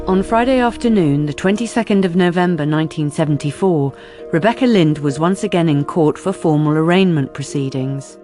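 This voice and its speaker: A woman narrating a radio drama from a script, measured and factual. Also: low-pitched, warm tone, faint music behind.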